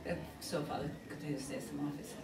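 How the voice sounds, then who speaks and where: A woman's voice: deep voice, little pitch movement, racing, a crowd in the background. Older woman telling a story, far-off and echoey. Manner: rambling, repeats "he says" while retelling dialogue. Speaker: religious teacher, nun. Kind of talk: storytelling in a class lesson. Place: plain meeting room with tables. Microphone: far camera across the room. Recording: echoey room, far-off mic, listeners audible.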